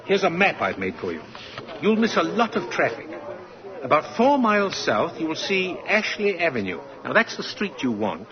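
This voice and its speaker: An actor in a 1940s radio play voicing a kindly old man, gentle and grandfatherly, on a dull old broadcast recording. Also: a little high, very animated, moderate pace, crowd noise. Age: older. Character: kindly old man Kris Kringle, gentle and warm-hearted.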